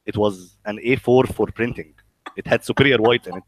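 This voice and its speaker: A man's voice: lowish voice, no room sound, poor audio. A man with an Egyptian accent chatting casually and bluntly, swearing and joking, often stammering over the starts of his words. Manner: halting, stammering with repeated word starts. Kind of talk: casual conversation.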